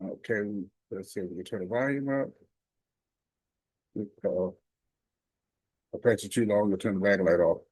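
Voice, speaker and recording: A man's voice: lowish voice, moderate pace, pauses often, a little mellow, dry recording, rough recording. A man reviewing a gadget casually and hands-on, talking himself through each step in a folksy, emphatic way. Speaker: product reviewer.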